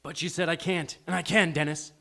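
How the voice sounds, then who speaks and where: A man's voice: a little high, steady tone, fairly quick, creaky, fairly bright tone. A man playing a macho, stubborn, childishly insecure bowler in a live comedy table read, boastful and insistent. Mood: pleading.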